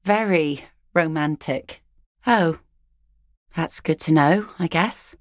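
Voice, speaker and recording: Gravelly weathered net-picker woman, low voice, blunt, sardonic, dry booth sound. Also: slow, no echo. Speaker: game character. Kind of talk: video game voice lines.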